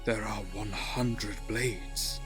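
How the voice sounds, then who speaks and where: A man playing a wary, earnest male Eldar warrior companion in a sci-fi audio drama, speaking gravely. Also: fairly low pitch, steady tone, very slow. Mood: awed. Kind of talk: scripted audio drama acting. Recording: music under the voice. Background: music.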